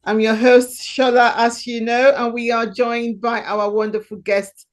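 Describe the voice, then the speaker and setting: A woman's voice: a little high, little pitch movement, a little slow. A woman hosting an interview podcast, introducing and questioning her guest with an effusive, enthusiastic manner. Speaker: podcast host.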